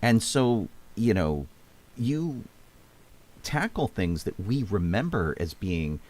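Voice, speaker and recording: A man co-hosting a casual live stream chat, backing up the guest with quick agreement and enthusiastic asides. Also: lowish voice, very animated, a little mellow, poor audio. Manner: affirming backchannels, enthusiastic asides. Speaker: co-host.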